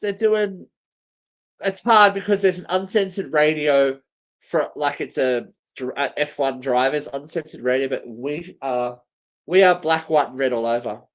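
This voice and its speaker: A man's voice: fairly high pitch, measured pace. Dull-sounding stream: cheeky, camp male co-host for loose, chatty live banter. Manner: cheeky, campy, gushing asides. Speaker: co-host of a live online show.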